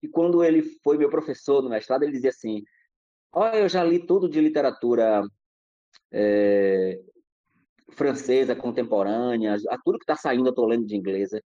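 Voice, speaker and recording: A man's voice: medium pitch, expressive, moderate pace, dark tone, no echo. Man from Brazil, podcast guest, rambling reflective talk, dry muffled sound. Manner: discursive, many restarts and fillers.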